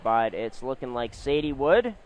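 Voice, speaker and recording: A man calling a swim meet live as play-by-play commentator, with measured announcer phrasing. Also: medium pitch. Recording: steady clear background venue noise. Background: swim meet ambience.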